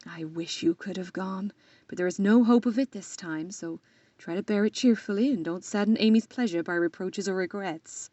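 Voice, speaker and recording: Casting: actress as patient middle-aged mother, measured and mellow, slightly dull recording, period radio play. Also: medium pitch, no room sound, close to an everyday voice. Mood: gently regretful. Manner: gentle, measured. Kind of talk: audio drama acting of a period novel.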